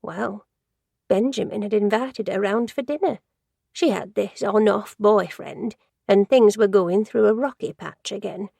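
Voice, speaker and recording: A woman's voice: mid-range voice, a little slow, gravelly, dark tone, dry recording, studio quality. A woman voicing a gossipy, judgmental older woman who works in an office, rambling and easily sidetracked in a storybook reading. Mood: indignant.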